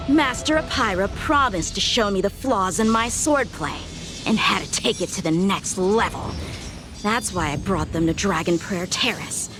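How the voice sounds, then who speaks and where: A woman playing a proud, overconfident teenage boy swordsman in a video game, speaking in a measured, self-assured way. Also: mid-range voice, animated, music in the background, natural voice. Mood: confident. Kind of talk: video game cutscene acting.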